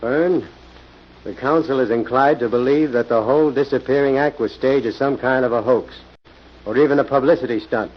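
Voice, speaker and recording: An actor playing a brisk, grave and decisive police inspector in 1940s radio style, with a husky voice. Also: male voice, medium pitch, lightly acted. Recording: dull, lo-fi old radio recording.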